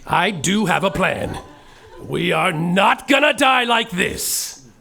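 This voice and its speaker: A man's voice: high-pitched, lively intonation, slow pace, vocal fry, pushed and bright. A man narrating and playing a brash, profane detective in a live comedy musical podcast on stage. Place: theater stage before an audience.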